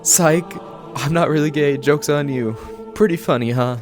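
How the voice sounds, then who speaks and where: An actor playing a remorseful teenage high school boy in an audio drama, earnest and halting in his delivery. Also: over music, lightly acted. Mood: mock jeering. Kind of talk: scripted audio drama acting.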